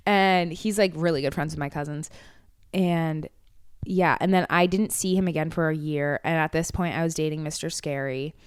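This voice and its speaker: Young woman podcast host, low smooth voice, swears a lot, dry close mic. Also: fairly even intonation, dry recording. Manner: candid storyteller, swears freely, quick laughs. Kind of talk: casual chat.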